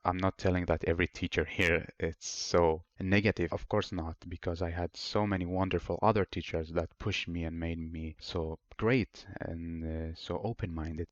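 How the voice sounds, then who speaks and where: Low-voiced man in his twenties. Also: no room sound, lo-fi sound. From Iraq. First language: Arabic. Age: 20s. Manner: earnest, rambling, searching for words. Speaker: podcast host. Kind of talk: solo personal storytelling monologue.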